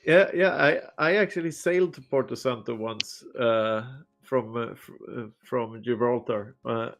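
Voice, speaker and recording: A man with a cold, a guest author answering casually in a relaxed, joking way with many pauses. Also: mid-range voice, soft background music. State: has a cold. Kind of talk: casual interview talk.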